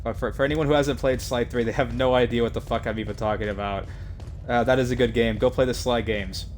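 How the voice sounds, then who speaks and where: A man's voice: medium pitch, steady tone, fast talker, a little hiss or hum. A man streaming a video game, chatting casually with viewers in a profane, joking, rambling way. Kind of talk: casual live-stream commentary.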